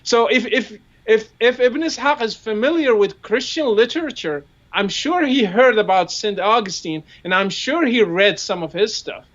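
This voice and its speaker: A man's voice: sits high, expressive, moderate pace, hardly any pauses, a bit bright, muffled recording. A man with an Arabic accent, a native Arabic speaker, explaining his points in a discussion and asking rhetorical questions, often repeating words as he builds up. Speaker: guest commentator. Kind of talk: discussion on a live show.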